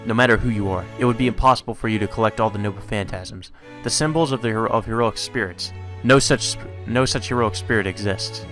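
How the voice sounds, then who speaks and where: Proud female knight, anime game, formal and stern, played by a low-pitched male reader, faint music underneath. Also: fast talker, hardly any pauses, fairly bright tone, close to an everyday voice. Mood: indignant, demanding. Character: proud, earnest female knight, challenging her foe.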